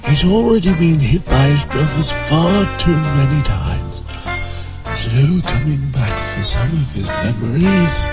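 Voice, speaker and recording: A man playing a calm, grave old wizard headmaster with a measured manner in an amateur fantasy audio drama. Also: on the high side. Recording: very loud music over voice.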